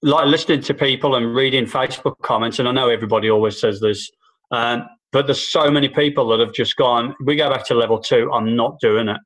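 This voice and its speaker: A man's voice: medium pitch, fairly even intonation, a little fast, a bit bright, poor audio. A man co-hosting a casual political chat podcast, talking in long sweary runs full of false starts and restarts. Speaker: podcast co-host and stand-up comedian. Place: New Zealand.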